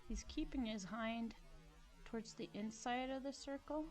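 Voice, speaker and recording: A woman narrating a video of her horse's training in a relaxed, halting way with many fillers, sniffling a little. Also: fairly high pitch. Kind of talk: casual voice-over commentary.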